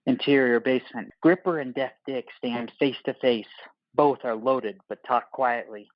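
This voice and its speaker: A man's voice: medium pitch, steady tone, normal speed, no echo, dull audio. A man narrating an audio play, reading stage directions in terse screenplay phrases.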